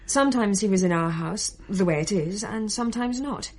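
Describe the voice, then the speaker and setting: An actress playing a frank young English daughter, recounting events conversationally in a radio play. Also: female voice, mid-range voice, expressive, moderate pace, a little mellow, lightly acted. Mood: weary. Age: young adult.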